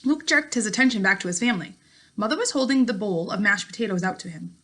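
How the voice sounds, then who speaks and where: A woman teacher reading a story aloud to her class in an expressive way, with casual remarks. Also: mid-range voice, fast talker.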